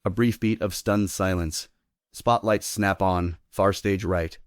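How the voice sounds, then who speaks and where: A man's voice: deep voice, steady tone, takes it slowly, no room sound, studio quality. A man giving scripted narration, reading out the stage directions of a play.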